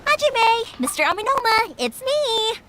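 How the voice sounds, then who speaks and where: Chatty anime game girl, sky-high pitch, lively intonation, bubbly, informal. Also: a little slow, background noise, exaggerated voice. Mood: eager. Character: bubbly, chatty young female fireworks maker. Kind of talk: anime video game voice acting.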